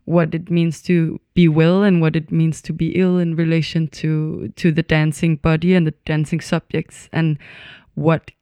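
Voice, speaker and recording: A young adult, talking in a measured, reflective way with plenty of pauses and fillers. The voice is smooth and resonant at a middling pitch. It is close and dry on the mic, with no room sound. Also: level tone, mellow, no echo. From Denmark. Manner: thoughtful, hesitant, many pauses and fillers. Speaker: dance student. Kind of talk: reflective conversation.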